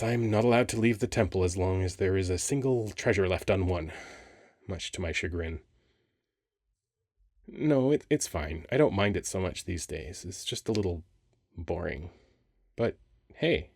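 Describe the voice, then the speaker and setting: Low-voiced flirty sphinx, acted by a man. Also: measured pace, pauses often, no room sound, studio quality, full character voice. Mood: gentle, teasing. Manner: teasing, conversational, expressive. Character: handsome, flirty male sphinx, playful ancient temple guardian. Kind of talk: ASMR roleplay audio acting.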